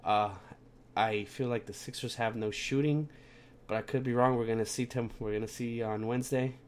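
A man's voice: mid-range voice, fairly even intonation, moderate pace. A young man hosting a casual sports podcast, sounding plugged up from a cold and rambling with lots of fillers and restarts. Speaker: podcast host.

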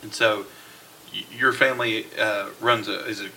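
A man's voice: fairly low pitch, steady tone, sharp tone, a little hiss or hum, low-quality recording. A man hosting a podcast, chatting casually with guests and using many fillers. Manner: friendly, halting with fillers. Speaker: podcast host. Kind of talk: casual interview chat.

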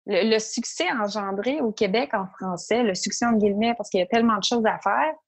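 A woman with a Québécois French accent speaks as a guest entrepreneur in an interview. She talks in a lively, animated way and often acts out her own inner thoughts as she tells her story. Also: medium pitch, fairly quick, slightly rough. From Quebec. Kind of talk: interview conversation.

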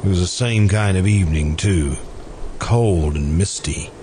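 An actor playing a mild, courteous, lovelorn murderer in an American radio drama, speaking haltingly and politely. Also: male voice, low voice. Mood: wistful. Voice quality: hesitant, halting delivery. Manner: polite, deferential, quietly stubborn. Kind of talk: old-time radio drama acting. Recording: amateur radio recording, clearly noisy background.

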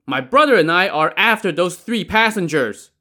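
A burly gang leader, as a male storyteller puts him on, bellows across the water in a high, strained, insistent voice. His shouting rises and falls in big, lively swings. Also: normal speed. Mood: pleading. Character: loud male leader of armed pursuers, pushy.

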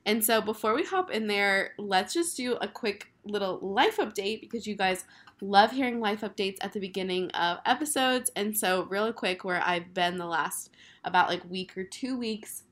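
A woman in her twenties hosting her own podcast, talking casually with lots of fillers while hoarse from a cold. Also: medium pitch, moderate pace, a bit bright. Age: 20s. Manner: chatty, filler-heavy, upbeat. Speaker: podcast host. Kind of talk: casual solo podcast chat.

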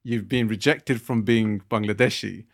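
A man's voice: fairly low pitch, fairly even intonation, moderate pace, creaky. A man in his thirties co-hosting a podcast, talking earnestly with many fillers and restarts. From Britain. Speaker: podcast co-host. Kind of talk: casual podcast discussion.

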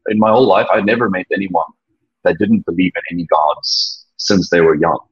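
Deep husky South African man, earnest. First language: Afrikaans. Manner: earnest, halting, many restarts. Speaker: interview guest. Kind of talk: personal testimony, reflective. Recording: dull lo-fi sound.

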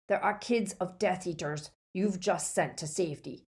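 A woman voicing a gruff, blunt older male barkeeper who scolds. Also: fairly low pitch, normal speed, darkish tone, natural voice. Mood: gruff, scolding.

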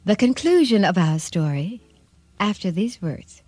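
A woman's voice: medium pitch, big pitch swings, unhurried. A woman hosting and narrating a radio drama in scripted dramatic narration. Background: music.

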